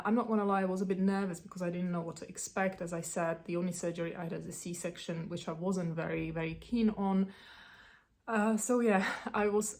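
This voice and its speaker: A woman's voice: lowish voice, darkish tone. A woman in her thirties from the Czech Republic with a Czech accent, chatting casually to camera in a rambling way with many fillers and restarts. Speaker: beauty vlogger.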